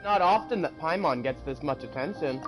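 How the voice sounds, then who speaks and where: A woman's voice: low-pitched, expressive, normal speed, rough recording. A woman streaming a game, giving casual live commentary and reading dialogue aloud. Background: game music.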